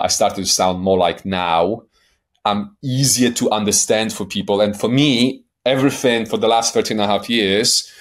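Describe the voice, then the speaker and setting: Blunt Polish-accented man coaching emphatically. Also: mid-range voice. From Poland. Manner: keeps checking in with "right?". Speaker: guest life coach. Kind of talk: podcast interview.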